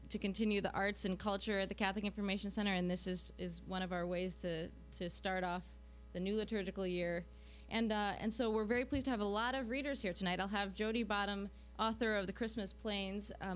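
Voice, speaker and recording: A woman's voice: medium pitch, fairly even intonation, fairly quick. Woman hosting an event over humming background noise. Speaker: event host. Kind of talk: welcoming address to a live audience. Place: live event room. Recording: clear background noise, hum.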